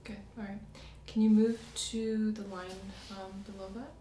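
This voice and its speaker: A soft-spoken woman in an ASMR medical roleplay, gently explaining exam steps as the examiner. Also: mid-range voice, flat delivery, moderate pace. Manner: gentle, step-by-step clinical explaining. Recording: roomy echo, distant mic, faint noise.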